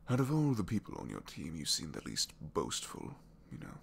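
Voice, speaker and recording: A man playing a captured supervillain in an intimate ASMR roleplay, a gentle, bookish dreamer who speaks softly and hesitantly, often sinking toward a whisper. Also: sits low, huge pitch swings, moderate pace, vocal fry, a little hiss or hum, heavily acted. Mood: sincere, fond. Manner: hesitant, soft-spoken, rambling, often dropping to whispers.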